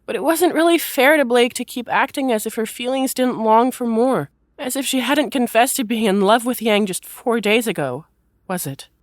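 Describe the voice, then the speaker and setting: A woman reading scripted third-person story narration in an expressive storytelling manner. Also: on the high side, normal speed, no echo, clean studio sound.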